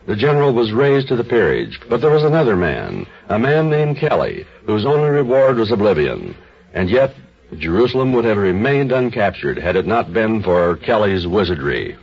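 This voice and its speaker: A man's voice: lowish voice, big pitch swings, normal speed, breathy edge. A man hosting and narrating a 1950s radio drama in a grave, portentous scripted style. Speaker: host and narrator. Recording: muffled vintage radio recording.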